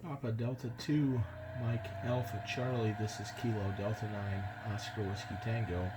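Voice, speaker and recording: A man with an American accent chatting casually as an amateur radio operator, in a measured, slightly hesitant way. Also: lowish voice, fairly even intonation. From United States. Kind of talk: casual ham radio chat. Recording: very loud background noise on radio transmission.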